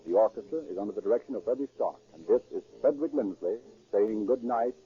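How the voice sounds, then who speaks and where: A man with an American accent announcing and narrating a 1930s radio programme in a formal, measured, scripted style. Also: on the low side, animated. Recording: muffled, worn 1930s radio recording.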